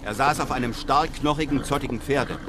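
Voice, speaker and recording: An actor playing a bold, self-assured adventurer hero who narrates his own exploits in a radio drama, measured and assured. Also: mid-range voice, noisy background, close to an everyday voice. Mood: narrating, composed. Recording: music behind the voice.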